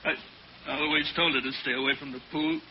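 Actor as high, agitated Hollywood boss. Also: male voice, steady tone, quick pace, slight background noise, close to an everyday voice. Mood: distraught. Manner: agitated, emotional. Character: distraught, hot-tempered Hollywood studio boss. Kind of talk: old-time radio drama melodrama. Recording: dull old radio recording, crowd.

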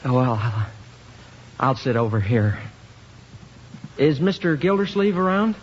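An American actor playing a hesitant, earnest, lovesick young husband in a 1950s radio comedy. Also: male voice, medium pitch, sing-song, a little slow. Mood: bashful. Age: young adult. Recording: noisy, muffled 1950s radio recording.

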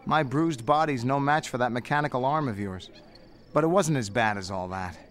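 An actor playing a proud, stubborn young wounded Jedi knight in an audio drama, with a wry, stoic manner. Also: male voice, mid-range voice, normal speed, natural voice. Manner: wry, stoic, brushing off concern.

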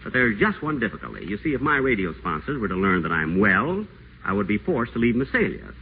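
A sardonic pompous schemer. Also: male voice, fairly low pitch, big pitch swings, brisk. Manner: sardonic, theatrical, imperious. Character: acerbic, scheming, pompous man in a wheelchair. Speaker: radio celebrity. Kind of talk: 1940s radio acting. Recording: tinny old radio broadcast, faint hiss.

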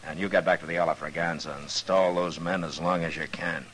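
An actor playing a stern, steady frontier marshal with an American accent in a 1950s radio western, terse and firm. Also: male voice, deep voice, a little fast, rough recording. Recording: hissy vintage radio recording.

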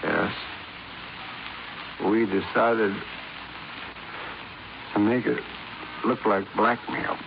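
An American actor playing a dying con-man hypnotist in a 1950s radio crime drama, confessing haltingly in a weak, gravelly, weary voice. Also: fairly low pitch, fairly even intonation, exaggerated voice. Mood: fading, strained. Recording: muffled, noisy 1950s radio recording.

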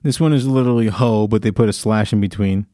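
A man's voice: on the low side, fast, mellow, no room sound, clean studio sound. A man hosting a podcast, reading from his phone in a casual, crude way with frequent laughter. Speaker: podcast host. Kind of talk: casual comedic chat.

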